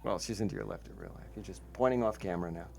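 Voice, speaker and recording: A man's voice: low-pitched, rapid-fire, gravelly, a little mellow, slight background noise. A man talking casually in an unscripted group conversation. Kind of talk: casual chat.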